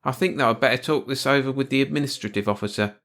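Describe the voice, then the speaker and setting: A man playing a fat, incredulous male supervisor who talks in a hushed, shaky, hesitant way in a story. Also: medium pitch, quick pace, pitched above the performer's own voice, lightly acted. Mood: shaken.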